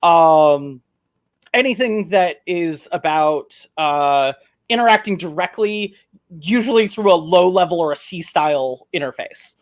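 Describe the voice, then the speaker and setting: A man answering interview questions as a software project maintainer over a video call, halting and thoughtful, with frequent "um" and "like". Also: a little high, animated. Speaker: guest, open-source project maintainer.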